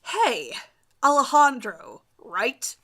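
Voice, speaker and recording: A woman's voice: high voice, big pitch swings, very slow, bright, forceful tone, full character voice. A woman voicing a catty teenage mean girl who needles and challenges with venom in a story reading. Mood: sly, probing.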